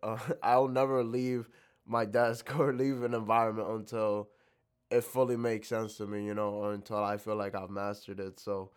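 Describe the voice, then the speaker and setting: A man's voice: on the low side, little pitch movement, normal speed, clean tone. A young man from the Dominican Republic answering interview questions reflectively, with many fillers and restarts. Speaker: interview guest.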